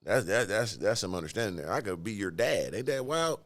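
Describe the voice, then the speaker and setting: Forties male podcast host with a low voice that swings a lot in pitch; crude, playful interviewer. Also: expressive, fairly quick. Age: 40s. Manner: crude joking banter, calls guest "baby". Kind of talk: casual podcast chat.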